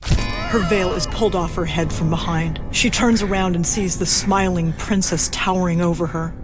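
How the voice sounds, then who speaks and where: A woman's voice: fairly low pitch, steady tone, moderate pace, over music, rough recording. A woman reading scripted narration for a horror audio drama.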